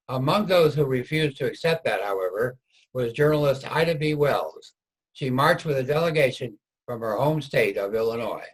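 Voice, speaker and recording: A man's voice: medium pitch, slightly rough, poor audio. A man reading scripted narration as the narrator of a reader's theater production.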